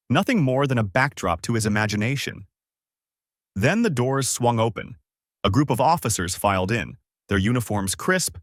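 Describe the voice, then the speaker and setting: A man's voice: medium pitch, fairly bright tone, no room sound, clean studio sound. A man narrating a scripted story in a dramatic, solemn, suspenseful way.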